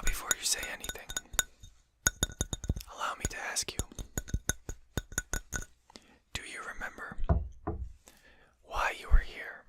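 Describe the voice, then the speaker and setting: A performer voicing a courteous, composed vampire lord in a breathy whisper, speaking formally and politely for an ASMR roleplay. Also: natural voice. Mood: calm, courteous menace. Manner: polite, formal, unhurried hushed speech.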